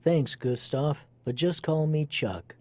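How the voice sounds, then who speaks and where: An actor playing a smug, ingratiating, conniving executive in an audio comedy, talking in a self-important way. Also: male voice, fairly high pitch, takes it slowly, darkish tone.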